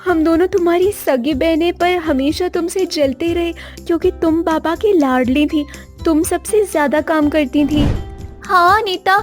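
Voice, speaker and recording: A woman's voice: very high voice, unhurried. A woman playing a plaintive young village girl, a younger sister in a fairy tale. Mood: remorseful. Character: jealous younger sisters, village girls, now remorseful. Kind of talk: voice acting in a Hindi fairy tale. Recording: music behind the voice.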